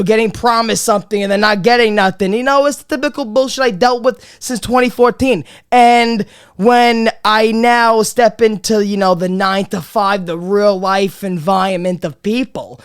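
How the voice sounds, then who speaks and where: Guy hosting a comedy podcast, voice going, smooth clear tone, swears a lot and keeps restarting. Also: sits high, normal speed. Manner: rambling, profane, many restarts and repeats. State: losing his voice, a bit under the weather. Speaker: podcast host. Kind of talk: casual comedy podcast monologue.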